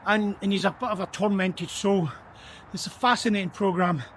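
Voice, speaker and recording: Guy with a high voice rambling thoughtfully on a night walk, faint traffic in the background. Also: fairly even intonation, moderate pace, fairly bright tone. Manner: thoughtful, repeats words as he talks. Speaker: vlogger. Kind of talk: reflective walking vlog. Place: outdoors on a city street at night.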